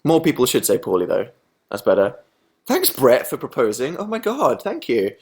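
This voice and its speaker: A young man hosting a casual livestream, reading viewer comments aloud and answering them with sarcastic, deadpan, self-mocking jokes. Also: mid-range voice, normal speed. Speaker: livestream host.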